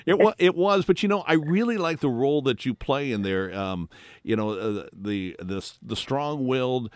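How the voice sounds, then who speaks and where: Lively-voiced middle-aged radio host, rambling. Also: male voice, medium pitch, moderate pace, dry recording. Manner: rambling, stumbling restarts. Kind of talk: radio interview chat. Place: radio studio.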